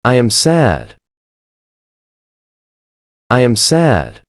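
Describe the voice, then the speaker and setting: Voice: medium pitch, big pitch swings, very slow, dry recording, studio quality. A voice modeling short phrases for learners, saying each one twice and carefully. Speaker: pronunciation model. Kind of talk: slow phrase drills.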